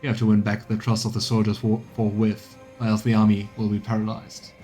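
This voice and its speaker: A man's voice: on the low side, completely flat delivery, a little fast, mellow, faint music behind, pitched below the performer's own voice, lightly acted. A man voicing a stern, duty-bound military captain in a fantasy game, reporting in a formal, grave manner. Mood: grave concern.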